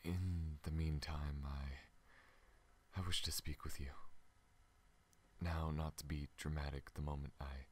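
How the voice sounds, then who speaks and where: Man voicing a very deep, weary alien pilot. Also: flat delivery, a little mellow, a little hiss or hum. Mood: earnest, grateful. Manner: slow, halting, earnest. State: weak and recovering from wounds. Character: gentle, honorable, battle-worn tall male alien pilot. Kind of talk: ASMR audio roleplay.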